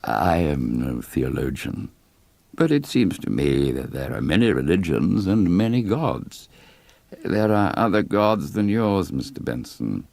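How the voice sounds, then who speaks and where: A man voicing a tall, gaunt, undertaker-like village mayor, coldly polite, with measured, formal speech and quiet menace. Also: sits low, sing-song, gravelly, dry recording, full character voice.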